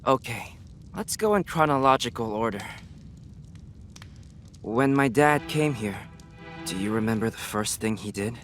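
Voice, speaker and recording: Earnest young American sleuth, mid-pitched. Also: male voice, expressive, fairly bright tone, soft background music. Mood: probing. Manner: earnest, questioning, measured. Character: Tim, earnest, inquisitive teenage boy detective. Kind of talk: video game voice acting.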